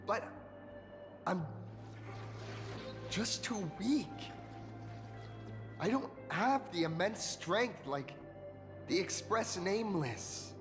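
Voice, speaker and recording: Guy doing a shy earnest kid hero for a game, high n slow, echoey w music. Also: animated. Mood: downcast, self-doubting. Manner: earnest, hesitant. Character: earnest, insecure young would-be hero, starstruck fan. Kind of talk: video game voice acting. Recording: long reverb, music behind.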